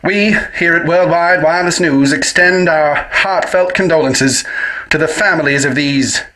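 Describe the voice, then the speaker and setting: A bright 1930s newsreader. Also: male voice, a little high, poor audio. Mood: solemn. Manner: formal, measured announcer delivery. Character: 1930s male wireless newsreader. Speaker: news announcer. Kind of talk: 1930s radio news reading.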